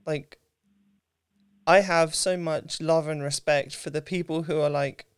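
A woman, hosting a casual interview in an informal, thoughtful way with halting phrasing. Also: low voice, fairly even intonation, clear, resonant tone, dry recording, clean studio sound. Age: mid 30s. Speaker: podcast host, interviewer.

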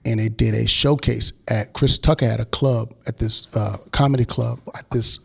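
Man, 40s, gravelly voice, interview guest, storytelling, acts out quotes. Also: medium pitch, normal speed. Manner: animated storyteller acting out quotes. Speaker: guest record producer.

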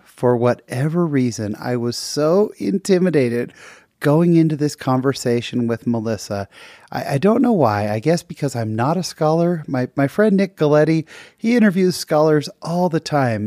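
A man's voice: mid-range voice, darkish tone, no echo. Male podcast host, effusive and upbeat, dry close-miked sound. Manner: enthusiastic, effusive praise. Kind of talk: podcast intro and interview.

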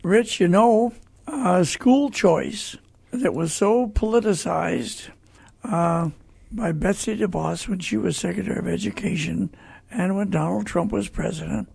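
Male radio host, American, very creaky and husky, folksy and earnest with listeners. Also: high voice, a little slow, a little mellow. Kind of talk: conversational religious talk radio.

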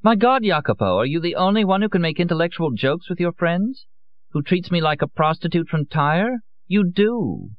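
A man's voice: high voice, expressive, normal speed, no room sound, highs cut off, pitched above the performer's own voice. A man voicing a drunk, theatrical young woman who drawls coyly and stumbles over her words. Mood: teasing. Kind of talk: audiobook character voice.

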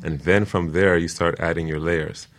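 A man speaking as an interview guest and teacher, explaining things informally in a measured but passionate way. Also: low voice, steady tone, a bit bright. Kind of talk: conversational explaining.

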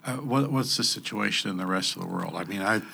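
A man asking questions in a relaxed, slightly hesitant way as an interviewer. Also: lowish voice, fast, vocal fry. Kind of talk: conversational interview. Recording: faint background noise.

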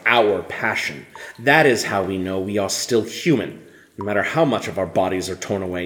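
Low-voiced, stern rebel commander. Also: male voice, fairly bright tone. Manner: measured, deliberate, commanding. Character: stern male militant rebel commander, battle-hardened, controlled simmering anger. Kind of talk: audio drama acting.

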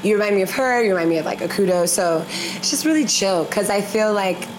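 A woman from the United States hosting a podcast in a casual, animated chat, often laughing and saying "literally.". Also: medium pitch, quick pace, background noise. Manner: bubbly, animated, laughs a lot, says "literally". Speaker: podcast host.

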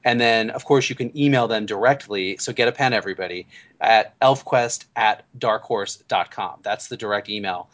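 A man's voice: fairly low pitch, normal speed, fairly bright tone. A man hosting a casual fan podcast, chatty and thinking aloud as he talks. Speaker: podcast host. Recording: slightly dull lo-fi audio.

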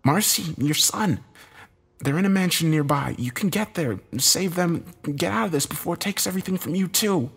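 A man's voice: a little high, lively intonation, moderate pace, husky, voice pushed up. A man voicing a desperate, bitter man in a dramatic story, agitated, urgent and given to swearing. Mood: desperate, commanding. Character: Josh, desperate bitter man, cornered game loser.

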